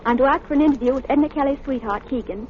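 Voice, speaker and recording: A woman plays an anxious, earnest young lady detective in a vintage radio mystery. Her high voice is polite and hesitant. The recording is dull, with slight noise. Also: racing, lightly acted. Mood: earnest, probing. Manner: hesitant, earnest, well-spoken. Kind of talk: 1930s radio drama acting. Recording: muffled old radio recording, faint noise.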